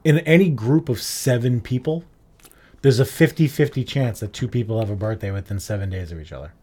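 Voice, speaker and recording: A man's voice: medium pitch, fairly quick, darkish tone. A man co-hosting a podcast in casual chat, explaining things with lots of "like" fillers. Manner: chatty, explains with many "like" fillers. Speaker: podcast co-host.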